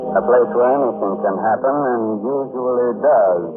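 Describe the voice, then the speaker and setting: A man playing a mock-courteous, ghoulish horror host, narrating in an insinuating 1940s radio style with dramatic pauses. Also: mid-range voice, music in the background, natural voice. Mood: ominous. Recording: muffled, noisy 1940s radio recording.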